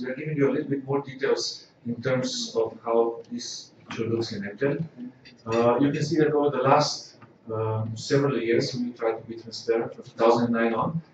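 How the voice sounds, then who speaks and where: A man from Slovenia giving a corporate results presentation, measured and full of filler "uh"s. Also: mid-range voice. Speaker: company executive presenting results. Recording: echoey hall, distant dull mic, faint noise.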